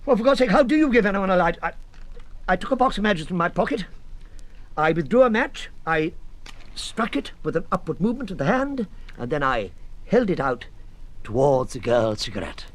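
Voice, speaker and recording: A radio-drama husband in his fifties, British and defensive. His fairly high voice swings widely as he moves from weary sarcasm to irritated protest. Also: very animated, normal speed. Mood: exasperated. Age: 50s. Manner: sardonic, weary, bursts of irritation. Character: defensive, exasperated 51-year-old husband accused by police.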